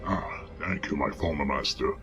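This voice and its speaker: A man's voice: rumbling low, normal speed. A man voicing a humble, dryly polite alien Jedi knight in a comic dub, with music behind him. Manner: formal, courteous, dry. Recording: loud music under the voice.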